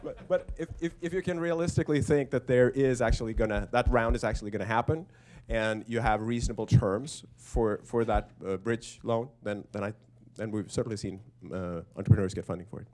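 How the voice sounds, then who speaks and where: A man speaking as a panelist in a live investor panel, measured and a little halting. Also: mid-range voice, a little mellow.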